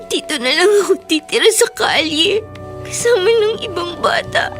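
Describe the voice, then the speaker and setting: A woman's voice: very high voice, expressive, moderate pace, fairly bright tone. A woman with a Filipino accent playing a hurt, abandoned runaway girl in a radio drama, plaintive and emotional. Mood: tearful, desperate. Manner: emotional, plaintive, polite to elders. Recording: music under the voice.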